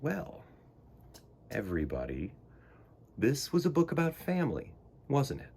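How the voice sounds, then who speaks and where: A man hosting a storytime for children, speaking to young viewers in an expressive, theatrical and earnest way. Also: medium pitch, a little slow, slight background noise. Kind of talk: expressive read-aloud and talk to young viewers.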